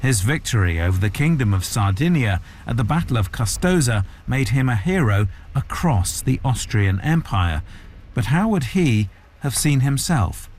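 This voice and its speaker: A man's voice: mid-range voice, very animated, moderate pace, a little mellow, a little hiss or hum. A man working as a radio correspondent, narrating a scripted news report.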